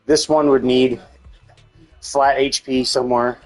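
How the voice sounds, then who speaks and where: A man streaming a game live, talking casually and haltingly as he works through numbers to himself. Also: mid-range voice, little pitch movement, darkish tone, soft background music, low-quality recording. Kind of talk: casual gaming stream commentary, thinking aloud.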